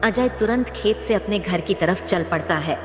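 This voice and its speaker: A woman's voice: medium pitch, normal speed, over music. A woman narrating a scripted cartoon moral story as its storyteller. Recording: echoey, long reverb.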